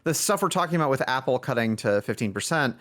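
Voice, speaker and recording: A man's voice: medium pitch, animated, fast, fairly bright tone. A man chatting casually as a podcast guest, rambling and often correcting himself mid-sentence. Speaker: indie game developer, podcast guest.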